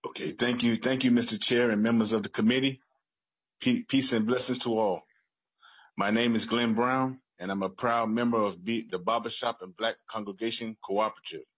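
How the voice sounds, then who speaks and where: A middle-aged American man speaks on the lower side with a husky edge. He gives personal testimony earnestly, stopping and repeating words. The sound is dulled, like a video call. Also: fairly even intonation, normal speed, a bit bright. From Chicago, United States. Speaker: public witness at a legislative hearing. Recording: muffled, echoey video call.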